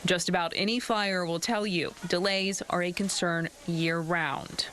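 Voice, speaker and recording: Woman doing a tv news live report, lowish voice, noise behind her. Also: steady tone, unhurried, fairly bright tone. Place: live on location at an airport. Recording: clear background noise, on location.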